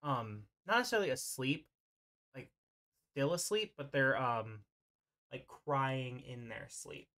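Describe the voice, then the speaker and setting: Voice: mid-range voice, lively intonation, measured pace, a bit bright. A game master casually narrating an online roleplay over a voice call, in an informal, hesitant way.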